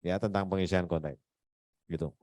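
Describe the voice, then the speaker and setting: A man's voice: low voice, fairly even intonation, normal speed, a little mellow, dry recording, studio quality. A man moderating an online meeting, talking informally and inviting others to speak.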